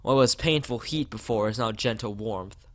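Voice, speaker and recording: A man's voice: lowish voice, moderate pace. Man reading a visual novel aloud. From United States. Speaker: let's play streamer.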